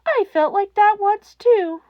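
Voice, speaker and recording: A little animal schoolmaster, played by a woman in an audiobook, kindly and earnest. He speaks in a high, lilting voice with precise, gentle phrasing. The recording is dry and slightly muffled. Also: unhurried, no room sound. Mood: quiet, earnest. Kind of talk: audiobook character acting.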